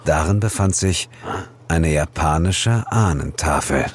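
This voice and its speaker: An actor playing a world-weary, sardonic ghost hunter, narrating an audio drama in a measured, dry way. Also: male voice, very deep voice, animated, a little hiss or hum. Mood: brooding, measured. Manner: measured storytelling with dry sarcasm. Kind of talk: scripted first-person audio drama narration. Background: loud music.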